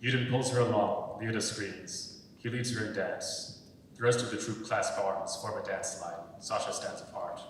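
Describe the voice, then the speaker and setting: A man's voice: steady tone, moderate pace. Young man narrating, very echoey and distant. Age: young adult. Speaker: stage-direction narrator. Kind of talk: scripted narration at a dramatic reading. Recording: very echoey, distant, rumbling background noise.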